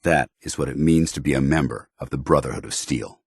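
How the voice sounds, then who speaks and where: An actor playing a stern, honor-bound soldier in a video game, speaking in a formal, commanding and earnest military way. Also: male voice, extremely low pitch, brisk, husky, dry recording, clean studio sound, heavily acted. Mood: solemn. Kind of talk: video game companion dialogue.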